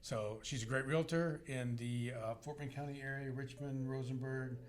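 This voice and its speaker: A man's voice: mid-range voice, steady tone, moderate pace. A man, hosting a real estate podcast in upbeat, friendly casual chat. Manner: upbeat, friendly, loose with fillers. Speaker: podcast host. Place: studio with guest beside him.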